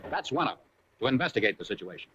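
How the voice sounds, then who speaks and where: A man's voice: mid-range voice, big pitch swings, rapid-fire, dull audio, background noise. An American man narrating a 1940s military training film from a script, in a punchy, colloquial style full of wartime slang, on a vintage film soundtrack.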